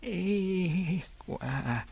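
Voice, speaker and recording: A frail, weary old father in a radio drama, acted by a woman, talks tenderly to his children. The delivery is extremely slow and halting, fairly low for a female voice, with heavy creak. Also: animated, a little mellow, exaggerated voice.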